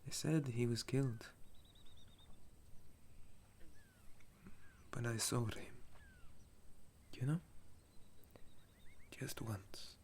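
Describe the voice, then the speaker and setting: A man playing a weary, tender legendary elf war hero in an intimate ASMR roleplay, speaking in a soft, breathy half-whisper. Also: medium pitch, slight background noise, natural voice. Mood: wistful, reassuring. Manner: slow, gentle, wistful storytelling.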